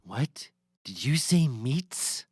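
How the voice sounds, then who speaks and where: A man's voice: medium pitch, big pitch swings, very slow, breathy edge, dry recording, clean studio sound, soft background music, exaggerated voice. A man playing an earnest, easily exasperated mad scientist in a scripted audio comedy, grave, urgent and theatrical.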